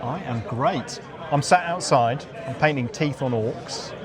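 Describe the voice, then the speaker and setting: A man's voice: mid-range voice, measured pace. A man chatting casually as an interview guest, a game designer talking outdoors at an event. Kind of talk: casual chat. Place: outdoors in a courtyard at a gaming event. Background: crowd chatter.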